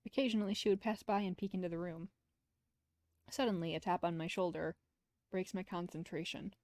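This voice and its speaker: A woman's voice: on the low side, fairly even intonation, brisk, darkish tone, dry recording. A woman streamer reading visual novel text aloud as narration, with casual asides, close to the mic.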